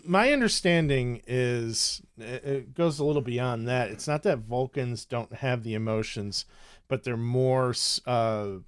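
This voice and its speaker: A man co-hosting a casual panel podcast, talking in a measured way with dry quips. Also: medium pitch, clear, resonant tone, dry recording. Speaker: podcast co-host.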